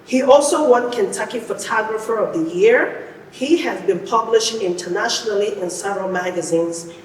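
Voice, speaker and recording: A woman's voice: medium pitch, moderate pace. A woman hosting a podcast, introducing and interviewing with an emphatic delivery that repeats phrases for effect. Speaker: podcast host. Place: indoor podcast studio. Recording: echoey, reverberant room, distant mic, faint noise.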